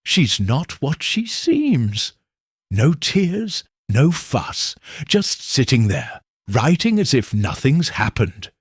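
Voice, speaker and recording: Guy putting on a theatrical gossipy woman, low accusing voice, kinda husky. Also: huge pitch swings, takes it slowly, no echo, clean studio sound, exaggerated voice. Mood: suspicious. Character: gossipy middle-aged woman, theatrical, suspicious.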